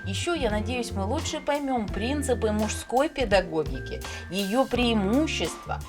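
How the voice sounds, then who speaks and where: A woman's voice: fairly high pitch, expressive, measured pace. A woman playing a courteous, inquisitive interviewer in an educational cartoon, speaking in a formal, scripted way. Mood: polite, curious. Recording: music behind the voice.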